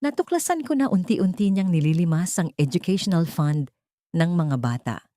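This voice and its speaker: A woman's voice: on the low side, expressive, normal speed, dark tone, dry recording, natural voice. A woman with a Filipino accent voicing an earnest, urgent male lawyer in an audiobook drama. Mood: grave, urgent. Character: earnest male lawyer, alarmed ally exposing a theft. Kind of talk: audiobook character dialogue.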